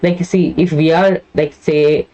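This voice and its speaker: A young Indian woman teaching an online biology class. She has a deep voice with plenty of bass, speaks straight to her students, and tags her sentences with "okay?". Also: steady tone, unhurried, dark tone. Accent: Indian English. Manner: talks to students directly, tags many lines with "okay?". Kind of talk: live online class, chatty motivational aside. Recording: dull lo-fi online class audio.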